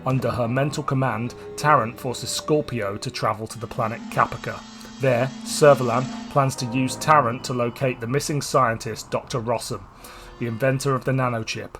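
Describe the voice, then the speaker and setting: A man's voice: mid-range voice, gravelly, fairly bright tone. Man hosting a podcast over music. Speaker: podcast host. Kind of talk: scripted plot synopsis and casual podcast chat.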